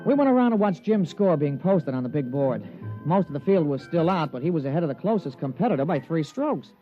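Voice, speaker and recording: An actor playing an excitable, folksy golf caddy in a 1950s radio drama, talking in slangy, ungrammatical working-class style. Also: male voice, a little high, fairly quick. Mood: upbeat narration. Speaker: narrator and sidekick character. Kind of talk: 1950s radio drama acting, colloquial narration. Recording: dull old radio broadcast recording, music behind.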